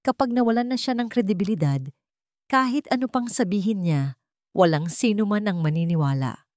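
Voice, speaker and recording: A woman voicing a calm, dangerous businessman villain in a dramatic story, cold, controlled and commanding. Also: mid-range voice, a little fast, no echo, studio quality, voice pushed up, lightly acted. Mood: firm, ruthless.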